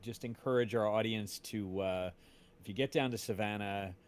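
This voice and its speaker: A man's voice: fairly low pitch, normal speed, a little hiss or hum. A man hosting a casual podcast chat, enthusiastic and appreciative toward his guest. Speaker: podcast host.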